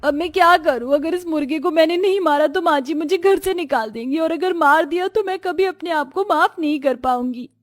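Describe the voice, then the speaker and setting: A distressed young Indian daughter-in-law from a cartoon story, acted by a woman. Her high voice is plaintive and lamenting, tender-hearted, and recorded very dry. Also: brisk, hardly any pauses, no echo. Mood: anguished.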